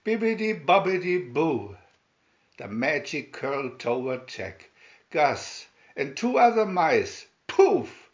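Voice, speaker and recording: A man's voice: mid-range voice, sing-song, slow pace, lo-fi sound. A man narrating a fairy tale aloud from a storybook in a dramatic style.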